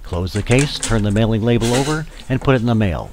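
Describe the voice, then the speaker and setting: A man's voice: medium pitch, expressive, a little fast, background noise. A man giving scripted, step-by-step instructional narration as a tutorial narrator for volunteers.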